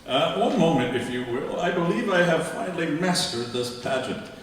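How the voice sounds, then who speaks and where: A man's voice: on the high side, lively intonation, moderate pace, slight background noise, natural voice. A man playing an old-fashioned, self-assured uncle with quaint formal phrasing in a live radio comedy. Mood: earnest, self-assured. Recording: echoey hall, distant mic.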